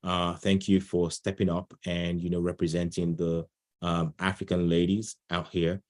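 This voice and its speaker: A man with a deep voice moderates an online session. He talks in an informal, chatty way and is generous with thanks and encouragement as he hands the floor around. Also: monotone, normal speed, darkish tone. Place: online video call.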